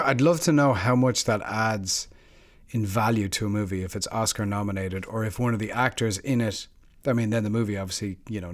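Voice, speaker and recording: Male podcast co-host thinking aloud. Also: lowish voice. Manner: thinks aloud, hedging with frequent fillers. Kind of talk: casual podcast discussion.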